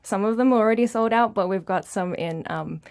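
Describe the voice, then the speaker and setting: A woman's voice: mid-range voice, a little fast, darkish tone, no room sound, clean studio sound. A middle-aged woman whose first language is Dutch, a guest on a radio interview, bubbly and laughing often, with fillers and restarts. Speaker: art academy director, guest.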